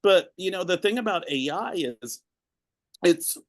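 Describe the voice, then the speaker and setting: A man's voice: high voice, animated, measured pace, no room sound, clean studio sound. A man from Arkansas, a guest in a podcast interview, talking in an earnest, reflective way and often repeating words as he starts a thought. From Arkansas, USA. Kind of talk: conversational interview.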